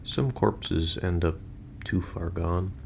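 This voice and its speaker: A man playing an easygoing, goofy corpse stitcher in a halting, rambling audio roleplay monologue, talking matter-of-factly to a listener. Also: sits low, creaky, heavily acted. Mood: matter-of-fact, casual. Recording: noisy home recording with ambience.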